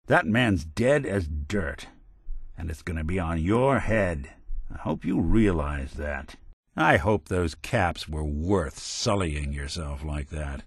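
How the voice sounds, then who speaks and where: An actor playing a world-weary, wry but kindhearted android detective in a video game, with hardboiled old-fashioned slang. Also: male voice, deep voice, expressive, takes it slowly, vocal fry, breathy edge, dry recording, heavily acted. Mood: stern.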